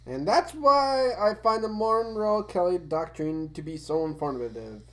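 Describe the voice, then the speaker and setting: A woman's voice: lowish voice, a little slow, a bit bright, rough recording. A woman playing a bookish, earnest cartoon boy who talks in a courteous, formal way. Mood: admiring.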